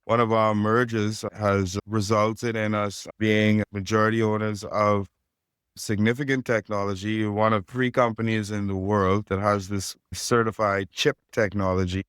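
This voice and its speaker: Man, Caribbean accent, podcast guest, smooth resonant voice, dry close sound, steady business pitch. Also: measured pace, no room sound. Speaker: podcast guest, fintech co-founder. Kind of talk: podcast interview.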